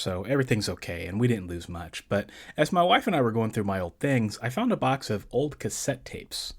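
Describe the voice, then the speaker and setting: Deep-voiced guy doing his podcast, calm and even, answers listener questions, dry jokes with a straight face. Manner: calm, measured advice with dry deadpan humor. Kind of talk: casual solo podcast talk.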